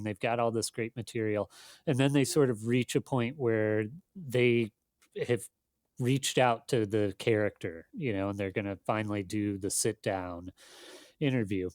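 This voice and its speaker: Man, interview guest, casual, thoughtful, halting delivery, modest hedging, mid-pitched, dry close sound. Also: a little mellow, no room sound. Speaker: magazine editor, interview guest.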